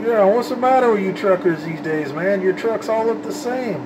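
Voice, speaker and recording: A man's voice: high-pitched, expressive, moderate pace. A man chatting casually in folksy CB radio slang, as an operator showing his setup. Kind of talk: casual radio chat and commentary. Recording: loud vehicle and fan noise.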